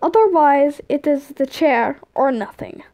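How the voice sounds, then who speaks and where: A woman's voice: high voice, animated, unhurried, dark tone. A woman voicing an exasperated, teasing man looking after a boy in a story, scolding and bargaining wearily. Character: exasperated, teasing, busy male guardian of a boy.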